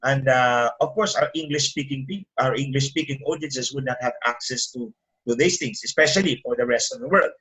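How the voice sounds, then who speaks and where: A middle-aged man from the Philippines, a Tagalog speaker talking in English as an attorney guest, indignant and climbing in pitch when he presses a point. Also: a little fast, bright, forceful tone, poor audio. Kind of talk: interview over a call.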